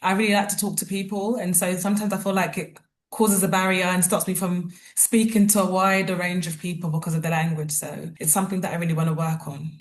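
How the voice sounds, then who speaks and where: A woman's voice: on the low side, flat delivery, fast talker. A woman hosting an interview, asking chatty, curious questions in a friendly way. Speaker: host, interviewer. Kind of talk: casual interview.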